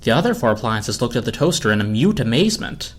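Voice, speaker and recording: A man's voice: mid-range voice, very animated, quick pace. A man reading a scripted story aloud as narrator. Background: orchestral film score.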